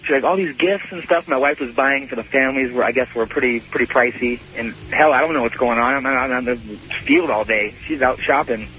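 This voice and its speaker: A man in his thirties calling a radio show, telling a personal story in a halting, repetitive way full of "you know". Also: mid-range voice, a little hiss or hum. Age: 30s. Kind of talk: unscripted personal account on a call-in show. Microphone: telephone. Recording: muffled lo-fi phone call to radio.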